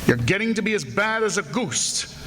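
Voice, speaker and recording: A man's voice: high-pitched, big pitch swings, normal speed, a bit bright, pitched above the performer's own voice. A man voicing a big, taciturn Basque lighthouse headman, curt and scolding, in radio theatre. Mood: curt irritation. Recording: reverberant hall, clear background noise.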